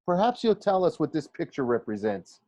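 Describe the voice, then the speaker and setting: A man's voice: fairly high pitch, sing-song, fairly quick, dark tone, no echo, voice pushed up. A formal, patiently stern male schoolteacher from a storybook, acted by a man reading aloud. He questions and lectures with dignity, in a lifted voice with a dry, close sound. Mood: probing.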